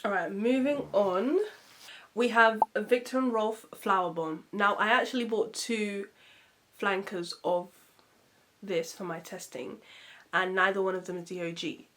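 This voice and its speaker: A woman reviewing perfumes in a casual vlog. She sounds nasal and muffled from a cold, and she talks in a chatty, expressive way with lots of fillers. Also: mid-range voice, measured pace, a little hiss or hum. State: congested from a cold.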